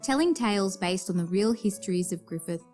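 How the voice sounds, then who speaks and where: Casting: female announcer, medium pitch, scripted radio-series introduction with soft music behind. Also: expressive, fairly quick.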